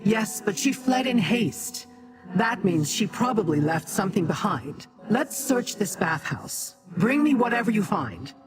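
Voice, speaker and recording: A game actor voicing a haughty, imperious demonic being who talks down to mortals in formal, measured speech. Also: medium pitch, very animated. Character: haughty, imperious Daedra ally, scornful of mortals. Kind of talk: scripted video game dialogue. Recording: music behind the dialogue.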